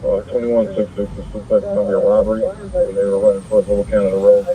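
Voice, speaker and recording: A man's voice: fairly low pitch, flat delivery, fast talker, dark tone, slight background noise. A man reading out police dispatch calls in clipped radio codes. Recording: distant police radio speaker in a car.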